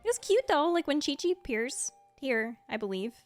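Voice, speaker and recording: A woman's voice: high-pitched, sing-song, normal speed. A woman giving casual, chatty reaction commentary as a video creator, rambling along with gushing asides. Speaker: video creator and game streamer. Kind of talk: casual reaction commentary, ranking game trailers. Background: faint music.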